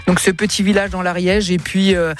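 A man hosting a radio show, chatting in a lively, teasing, effusive way and often repeating himself. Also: sits high, fairly quick, soft background music. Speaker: radio host. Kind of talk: lively live radio interview chat.

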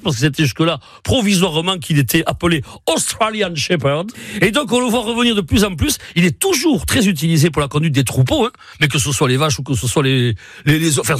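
A man's voice: high-pitched, big pitch swings, a little fast, husky, dry recording. A man explaining a story on a radio segment, playful and emphatic. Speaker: radio columnist on local heritage.

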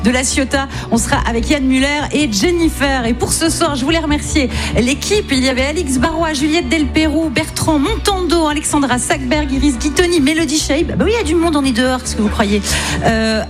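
A woman's voice: high voice, brisk, music in the background. A woman presenting as a radio host, interviewing live outdoors at a festival.

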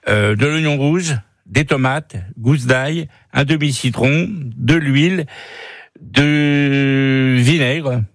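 Homme hésitant qui explique une recette. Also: male voice, medium pitch, slow, no room sound, clean studio sound. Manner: hesitant, many fillers and restarts, friendly explaining. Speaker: studio guest giving recipes. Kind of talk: casual radio chat.

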